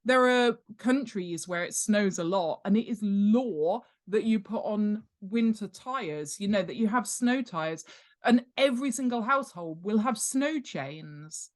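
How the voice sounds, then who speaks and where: A woman's voice: mid-range voice, animated, unhurried. British woman author chatting on a podcast, explains stuff with emphasis, pokes fun at herself. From United Kingdom. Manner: chatty, emphatic, self-deprecating, laughs as she talks. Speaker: guest, author and world-building expert. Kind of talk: casual podcast interview, explaining.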